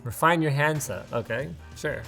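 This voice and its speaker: A man hosting a casual product unboxing, excitable and sarcastic, with a Canadian accent. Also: mid-range voice, moderate pace, creaky, music in the background. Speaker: tech video host.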